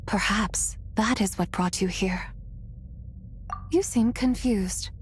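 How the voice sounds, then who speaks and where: A woman's voice: mid-range voice, slow pace, a little hiss or hum, lightly acted. A woman voicing an ancient, serene and forgetful immortal spirit in a video game, speaking formally and wistfully.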